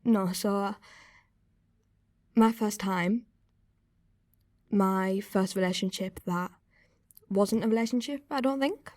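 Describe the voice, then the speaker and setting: A young woman played by an actress speaks in a naturalistic radio confessional. She is hesitant and uneasy but candid, with a medium pitch in a very dry close recording. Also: a little mellow, no room sound, close to an everyday voice. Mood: awkward, uneasy. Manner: hesitant, halting, candid, swearing. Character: young woman uneasily recalling an abusive first relationship.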